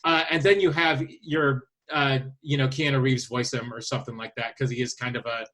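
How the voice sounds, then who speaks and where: A man co-hosting a podcast, talking casually and haltingly as he thinks his way through his picks aloud. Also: mid-range voice, fairly even intonation, a bit bright. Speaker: podcast host. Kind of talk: casual chat, presenting a list of casting picks.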